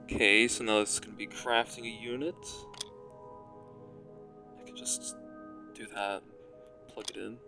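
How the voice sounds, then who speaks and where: A young man with a raspy voice, casually commenting on a factory-building game as he plays and rambling, self-mocking, while he thinks aloud. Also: on the low side, steady tone, a little slow, pauses often, music in the background. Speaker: gaming commentator.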